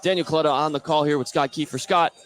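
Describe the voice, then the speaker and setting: A man's voice: a little high, fairly even intonation, fast, fairly bright tone. A man with an American accent giving live play-by-play commentary as a basketball announcer. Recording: clear gym noise, bouncing basketballs behind. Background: basketball game in a gym.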